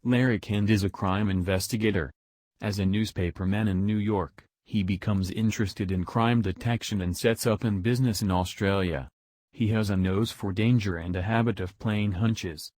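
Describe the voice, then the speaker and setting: Male announcer, lowish voice, scripted old radio introduction, very little room sound. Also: steady tone, moderate pace, a little mellow, no echo.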